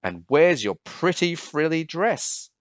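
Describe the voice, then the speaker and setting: A man's voice: a little high, sing-song, no echo, dull audio, pitched above the performer's own voice. Man playing a thundering, pompous storybook king. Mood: angry, scolding. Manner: thundering, disapproving, drawn-out scolding. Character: stern, pompous king uncle, scolding his niece. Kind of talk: children's storybook read-aloud.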